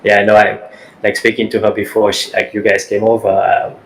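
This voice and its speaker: Male podcast host, low and even-toned, stop-start questions. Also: rough recording. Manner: halting, repeats words and says "you know". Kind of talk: podcast interview.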